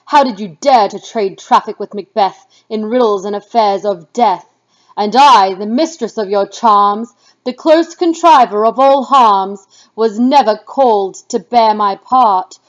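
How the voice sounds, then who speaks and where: Woman performing an imperious, wrathful witch goddess, slow and declamatory. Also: fairly high pitch. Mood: scolding, wrathful. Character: wrathful commanding witch goddess scolding her underlings. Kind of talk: dramatic Shakespeare reading. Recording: dull, muffled lo-fi recording.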